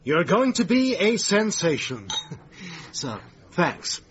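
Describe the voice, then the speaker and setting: An actor playing a smooth, manipulative theatre manager and controlling lover in a naturalistic radio drama. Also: male voice, high-pitched, very animated, slow pace, dull audio, full character voice. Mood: upbeat, smug. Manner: cajoling, domineering, self-satisfied.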